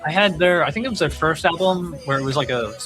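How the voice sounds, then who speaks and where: Man chatting casually over clear music. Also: fairly high pitch, fast talker. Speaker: podcast host. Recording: music playing behind the voice.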